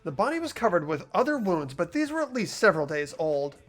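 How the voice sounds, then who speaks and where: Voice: mid-range voice, sing-song, brisk, soft background music. A performer voicing an earnest teenage schoolboy reasoning aloud in a fan-voiced game.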